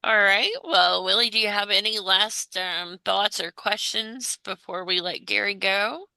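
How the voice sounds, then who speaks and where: A woman hosting a conversational interview, phrasing her questions in a halting, hesitant way. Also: mid-range voice, fairly even intonation, creaky, sharp tone, dry recording. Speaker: interviewer and host.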